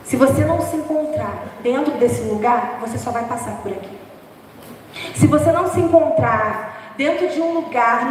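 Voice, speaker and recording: Hoarse woman preaching in an echoey church. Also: sits high, slight background noise. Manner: chatty and humorous, laughing at herself. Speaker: preacher from the dance ministry. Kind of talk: informal sermon. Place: large church hall. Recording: echoey church hall from far off.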